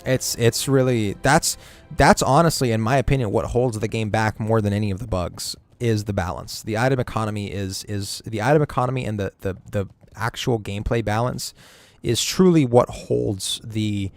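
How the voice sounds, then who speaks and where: Low-voiced man ranting about a game. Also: moderate pace, dry recording, soft background music. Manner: rambling, opinionated, swears freely. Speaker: game streamer. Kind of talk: casual gaming stream chat.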